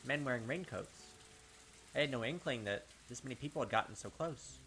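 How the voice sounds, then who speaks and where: A man reading a visual novel aloud as a gaming host, with dramatic, expressive storytelling and pauses. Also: mid-range voice, a little hiss or hum.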